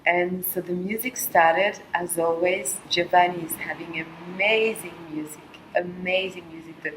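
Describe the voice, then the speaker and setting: Soft-spoken, low-voiced middle-aged woman. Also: slight background noise, poor audio. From Colombia. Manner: soft-spoken, halting, with frequent fillers and pauses. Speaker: vlogger sharing a personal experience. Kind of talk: reflective personal monologue.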